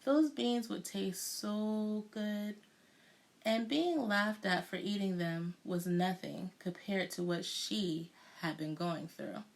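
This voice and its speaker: A woman reading a children's picture book aloud with deliberate, dramatic storytelling delivery. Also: mid-range voice, vocal fry. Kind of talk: expressive children's read-aloud narration.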